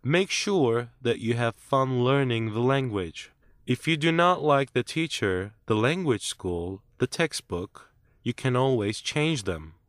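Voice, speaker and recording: A man reading a scripted listening-test script in a measured, clear way, as narrator and interviewee. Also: mid-range voice, lively intonation, dry recording, clean studio sound.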